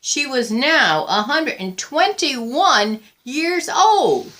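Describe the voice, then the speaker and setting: Female storybook reader. Slow, deliberate delivery, animated intonation, drawn-out key words. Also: sits high. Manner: deliberate, expressive, with drawn-out emphasis and pauses. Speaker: volunteer picture book reader. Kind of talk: read-aloud storytelling of a children's picture book.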